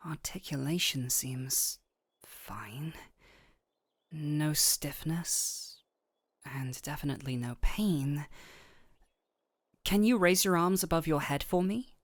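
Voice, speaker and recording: A gentle fantasy doctor voiced by a woman in an intimate audio roleplay. She speaks very slowly in a slightly low, soft voice that slips toward breathy near-whispers. Her manner is affectionate and teasing, and the sound is close and dry. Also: no room sound. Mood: focused, caring.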